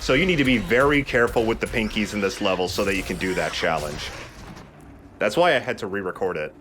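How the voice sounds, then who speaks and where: A man's voice: fairly low pitch, animated, fast, fairly bright tone, a little hiss or hum. A man hosting a let's play, giving casual, explanatory gameplay commentary.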